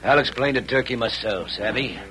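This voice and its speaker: A surly western gang boss played by a man talks curtly in an American Western accent. His mid-pitched voice sits among a noisy crowd in an old radio drama. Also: a little fast, lightly acted. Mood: placating, bossy. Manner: curt, slangy. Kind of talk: 1940s radio western acting. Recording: vintage radio, crowded scene.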